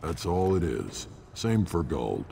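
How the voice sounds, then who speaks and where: An actor playing a surly, blunt alien warrior in a video game, gruff and dismissive, with a deep gravelly voice. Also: male voice, big pitch swings, takes it slowly, dark tone, heavily acted. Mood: sardonic. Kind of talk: video game character acting. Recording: long reverb, clear background noise.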